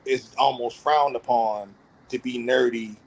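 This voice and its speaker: A man's voice: mid-range voice, fairly even intonation, unhurried, breathy edge, rough recording. A man in his thirties speaking candidly and reflectively about himself in a casual podcast conversation. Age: 30s. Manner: earnest, self-reflective, opens up about feelings. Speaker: podcast panelist.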